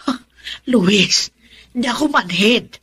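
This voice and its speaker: A woman's voice: on the high side, animated, takes it slowly, fairly bright tone, breathy edge, full character voice. An actress with a Filipino accent playing an aggrieved, stubborn older woman in a radio drama, plaintive and sulking. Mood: hurt, self-pitying. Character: aggrieved, self-pitying, stubborn elderly woman who feels unwanted.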